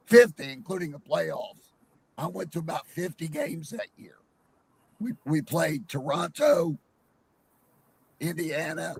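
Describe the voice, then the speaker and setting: A hoarse man with a cold calling into a live sports chat, rambling and hesitant with many fillers. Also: fairly high pitch. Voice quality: gravelly, husky. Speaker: caller on a live panel stream. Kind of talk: casual call-in chat.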